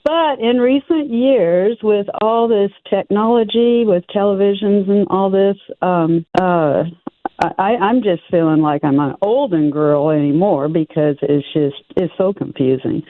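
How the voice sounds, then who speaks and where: A woman's voice: medium pitch, a little slow, no echo. A woman in her seventies from Oregon calling in to a radio show, speaking in a hesitant, folksy, self-deprecating way. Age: 70s. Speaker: caller. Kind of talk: phone-in question. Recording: tinny phone line.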